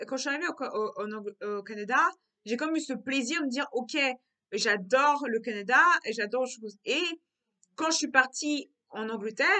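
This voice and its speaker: A young woman talks through her thoughts in a relaxed vlog monologue. Her voice sits a little high and sounds bright and clear. She keeps restarting and repeating phrases as she thinks aloud. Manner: repetitive, circling back on her words. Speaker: vlogger. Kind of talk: casual reflective monologue.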